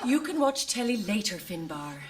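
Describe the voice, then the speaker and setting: A woman's voice: medium pitch, big pitch swings, moderate pace, far from the mic, very noisy, lightly acted. An actress playing a bossy, scolding wife and mother, nagging and sarcastic, in a comic radio play.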